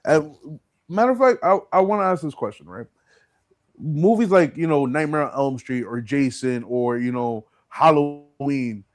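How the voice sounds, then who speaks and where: A man hosting an informal interview, asking questions in a halting, conversational way with lots of filler words. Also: mid-range voice, a little mellow, no echo. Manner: halting questions padded with "like" and "you know". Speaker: podcast host. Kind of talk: casual interview chat.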